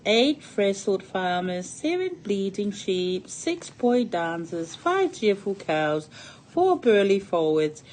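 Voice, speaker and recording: A woman's voice: medium pitch, big pitch swings, slow pace, darkish tone, slight background noise, poor audio. A woman reading a picture book aloud to children in a sing-song storybook cadence. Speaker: storytime reader.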